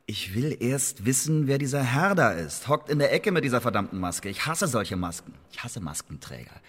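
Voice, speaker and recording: An actor playing a cocky, hot-headed male secret agent in a German radio drama, lively and insolent. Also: medium pitch, normal speed, slightly rough. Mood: defiant, indignant.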